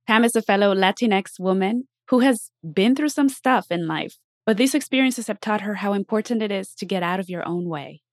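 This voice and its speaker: A woman speaking as a podcast host, upbeat and expressive, addressing people directly in a conversational way. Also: mid-range voice, dry recording, studio quality. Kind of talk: podcast intro and interview chat.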